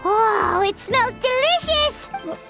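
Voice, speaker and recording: A woman voicing a tiny, chatty, easily indignant fairy companion in a video game, squeaky and childlike, speaking in exclamations. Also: lively intonation, takes it slowly, vocal fry, loud music behind the voice, full character voice. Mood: eager. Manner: exclamatory, refers to herself by name.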